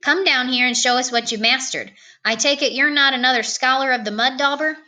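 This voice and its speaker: A woman reading a storybook, voicing a pompous, stern male school superintendent with a booming, questioning manner. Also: a little fast, pushed and bright. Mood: barking. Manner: booming, barking, interrogating.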